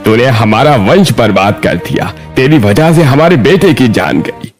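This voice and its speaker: A man's voice: medium pitch, lively intonation, measured pace, soft background music, close to an everyday voice. A man voicing a bitter, accusing, angry father in a moral story drama, speaking harshly. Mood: furious.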